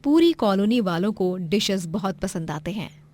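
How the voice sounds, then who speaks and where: A woman's voice: mid-range voice, lively intonation, normal speed, a little mellow. A woman narrating a scripted moral tale as the storyteller.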